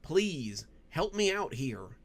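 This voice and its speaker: Man acting anxious young man, earnest, urgent, insistent, raised pitch, storybook hero. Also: very animated, takes it slowly, exaggerated voice. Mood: pleading.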